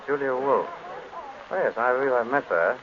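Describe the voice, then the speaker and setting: An actor playing a suave, easygoing, wisecracking gentleman detective in an old radio drama, with breezy, wry delivery. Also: medium pitch, lightly acted. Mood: reassuring. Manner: breezy, wry, unhurried banter. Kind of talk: 1930s radio drama acting. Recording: muffled, noisy old radio recording.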